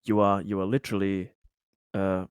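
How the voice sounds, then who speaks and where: Guy acting as a threatening colony boss grilling a prisoner, formal but halting, lowish voice, dry sound. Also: flat delivery, clean tone, no echo, close to an everyday voice. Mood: stern, accusing. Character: stern, threatening male colony leader interrogating a prisoner.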